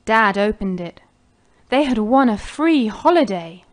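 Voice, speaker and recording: A woman's voice: mid-range voice, animated, measured pace. A woman with an American accent narrating a scripted children's cartoon story.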